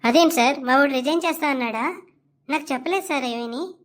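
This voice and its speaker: A woman's voice: sits high, fast, natural voice. A woman voicing a meek, good-natured young male office manager in a comedy cartoon, hesitant and deferential in the way of talking. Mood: alarmed, pleading. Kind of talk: Telugu comedy cartoon dialogue.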